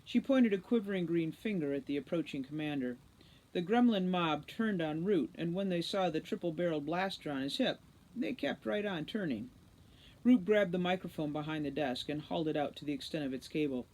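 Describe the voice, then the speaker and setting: A woman reads a storybook aloud with expressive delivery. Her voice sits on the low side, and she tells the tale like someone reading to listeners. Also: a little fast, poor audio. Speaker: audiobook reader, teacher.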